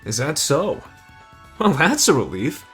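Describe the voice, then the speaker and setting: A man's voice: a little high, big pitch swings, a little slow, husky, faint music behind, full character voice. A man playing an easygoing, friendly but tough teenage delinquent in an anime roleplay, casual and slangy. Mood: cool threatening. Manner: casual, slangy, addresses listener as buddy. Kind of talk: anime fan ASMR roleplay.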